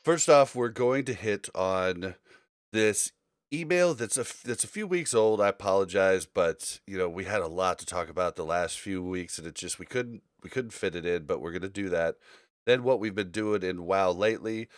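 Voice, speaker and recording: A man's voice: deep voice, lively intonation, moderate pace, clear, resonant tone, no echo. A man hosting a casual gaming podcast, speaking conversationally into a close mic. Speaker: podcast host.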